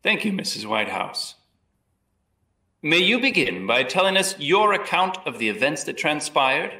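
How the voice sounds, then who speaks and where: A man's voice: fairly high pitch, big pitch swings, normal speed, sharp tone, exaggerated voice. A man acting a courteous, probing lawyer who questions witnesses formally in a historical courtroom drama. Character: courteous, probing male colonial defense lawyer. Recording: roomy echo, distant mic.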